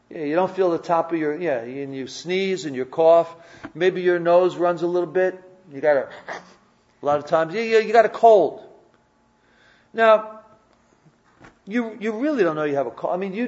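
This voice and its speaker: A high-pitched male pastor preaches with earnest repetition, stumbling over restarts and tossing questions to his listeners. The recording is dull and lo-fi, like an old church tape. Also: darkish tone. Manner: repetitive stammering restarts, conversational questions to the congregation. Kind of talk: sermon.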